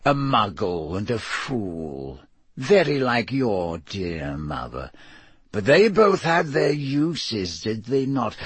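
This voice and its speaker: A man voicing a cruel dark wizard villain for an audiobook, speaking with cold, soft, lazy menace and a faint hiss. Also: lowish voice, slightly rough, no room sound, exaggerated voice. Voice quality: cold, hissing softness. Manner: slow, lazy, deliberate menace. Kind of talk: audiobook character voice.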